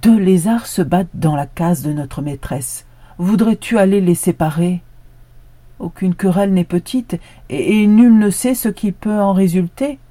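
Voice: mid-range voice, a little slow, warm tone, voice pushed up, natural voice. A storyteller voicing a loyal guard dog who keeps begging the other animals for help. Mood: pleading. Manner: insistent, pleading.

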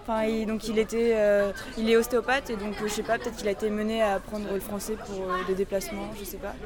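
A woman's voice: mid-range voice, little pitch movement, fast talker, a crowd in the background. A teenage girl, a student, telling about her stay in a chatty way with fillers, on a ferry deck. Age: teens.

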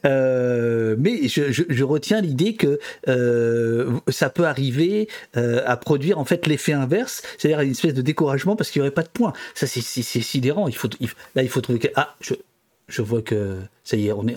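A man's voice: medium pitch, normal speed, a little mellow. A man hosting a casual online panel, talking with many fillers and restarts. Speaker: livestream host.

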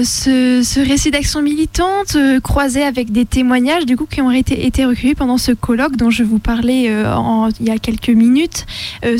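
A woman's voice: on the high side, a little mellow. A woman co-hosting a live radio show in a casual, conversational way. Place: radio studio.

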